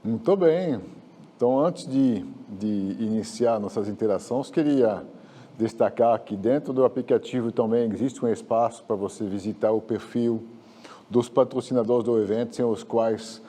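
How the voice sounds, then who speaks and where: A man moderating an online panel, formal and measured as he welcomes the guests. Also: medium pitch, warm tone. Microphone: video call.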